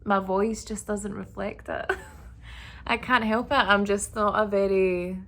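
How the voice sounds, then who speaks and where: A woman vlogging a casual apartment tour indoors, talking in a monotonous, relaxed way with lots of fillers. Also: medium pitch, unhurried. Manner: monotonous, flat delivery; relaxed, filler-heavy talk.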